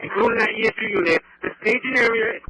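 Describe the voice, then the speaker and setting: A man speaks quickly over a police two-way radio, his voice bright and fairly high. He is clipped and commanding, coordinating units like an officer in the field. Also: slightly rough, dry recording, poor audio. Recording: police scanner radio transmission.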